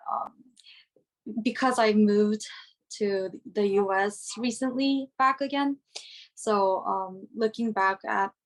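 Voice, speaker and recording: A young woman, a university student, giving an unscripted personal reflection, halting often to search for words. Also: mid-range voice, low-quality recording. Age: young adult.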